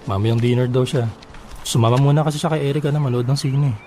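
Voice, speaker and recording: An actor playing a patient, apologetic boyfriend in a Filipino radio drama, speaking gently and reassuringly. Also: male voice, mid-range voice, fairly even intonation, fast, a little hiss or hum, close to an everyday voice. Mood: casual, affectionate. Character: soothing adult live-in boyfriend, patient and apologetic. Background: background music.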